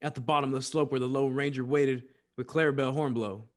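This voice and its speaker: A man's voice: medium pitch, steady tone, fast talker, natural voice. A man narrating an old-time western radio drama in a dramatic, scripted storytelling style.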